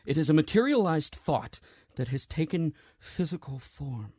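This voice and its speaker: Husky, grieving man, played by an actor. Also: a little high, sing-song, no room sound. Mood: uneasy, careful. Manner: halting, hushed, earnest. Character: grieving, guilt-ridden man gently explaining the impossible. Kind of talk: audio drama acting.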